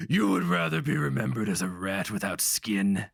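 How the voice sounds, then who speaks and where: A man's voice: sing-song, moderate pace, vocal fry, no room sound, heavily acted. A man voicing a brutish barbarian pit champion who taunts and roars defiantly in a fantasy story. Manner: booming, roaring, taunting.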